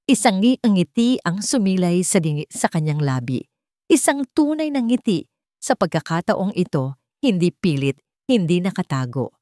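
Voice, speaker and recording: A woman narrating a scripted love story in a sentimental, dramatic manner with deliberate phrasing. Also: lowish voice, sing-song, warm tone, no room sound, clean studio sound.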